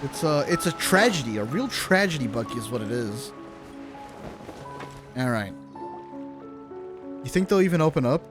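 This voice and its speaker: A woman's voice: a bass voice, very animated, moderate pace, pauses often, music in the background. A woman giving casual live commentary as a game streamer, informal and sweary, reacting as she goes.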